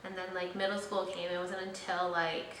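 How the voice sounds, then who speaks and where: A woman's voice: on the low side, little pitch movement, moderate pace, fairly bright tone. A young woman hosting her vlog, chatting casually and rambling with lots of fillers. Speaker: vlogger host. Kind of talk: casual chat. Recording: echoey room, slightly distant mic, faint noise.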